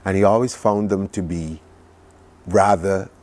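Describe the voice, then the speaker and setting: Low-pitched Barbadian man telling stories. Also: a little slow, darkish tone. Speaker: studio guest recounting a trip. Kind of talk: conversational television interview.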